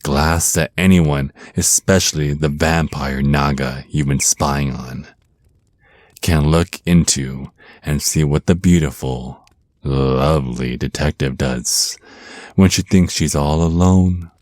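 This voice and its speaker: An extremely low-voiced, flirty vampire naga villain, acted by a man, speaks in an intimate ASMR roleplay. He is ancient, possessive and taunting, drawing out his menace at an unhurried pace. The sound is close and dry. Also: darkish tone, dry recording. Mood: smug, taunting. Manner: slow teasing menace, pet names, breathy pauses.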